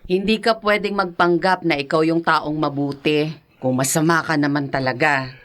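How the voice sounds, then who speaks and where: An actress playing a resentful middle-aged wife who makes cryptic, accusing remarks. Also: female voice, low voice, steady tone, moderate pace, a bit bright. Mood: pointed. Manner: pointed, accusing, speaks in riddles. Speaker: wife and mother.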